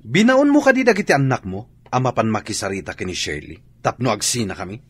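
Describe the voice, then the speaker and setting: A harsh, demanding husband. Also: male voice, medium pitch, sing-song, quick pace, fairly bright tone, husky. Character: cold unfaithful husband and father. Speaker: husband character. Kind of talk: Ilocano radio drama acting.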